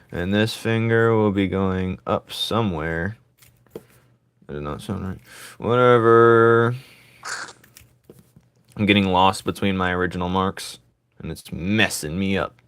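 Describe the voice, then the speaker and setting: A man with a raspy voice streaming his drawing, thinking aloud in casual chat and joking with viewers. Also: lowish voice, fairly bright tone, no room sound.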